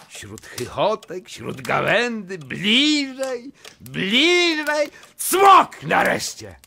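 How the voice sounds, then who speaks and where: Hot-tempered old nobleman in a radio comedy, gravelly, pitch jumps all over, bit of hiss. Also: heavily acted. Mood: smug, confiding, then excited boasting. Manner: exclamatory, swinging from muttering to shouting. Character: blustering, hot-tempered old Polish nobleman, boastful and stubborn. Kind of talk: theatrical verse comedy radio play.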